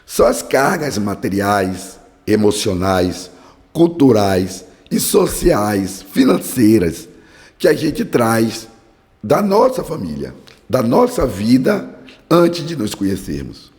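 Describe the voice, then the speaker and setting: Brief: hoarse male Bible teacher, fervent preaching cadence, long reverberant hall. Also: fairly low pitch, very animated, slow pace, a little mellow. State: hoarse from a cold. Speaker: Bible study podcast host. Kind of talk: devotional teaching with prayer.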